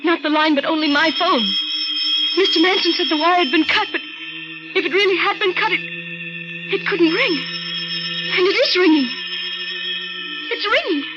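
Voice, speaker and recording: An actress playing a frightened young woman trapped by a killer in a 1950s radio melodrama, halting and anxious. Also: extremely high pitch. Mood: frightened, dawning suspicion. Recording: muffled old radio recording with music.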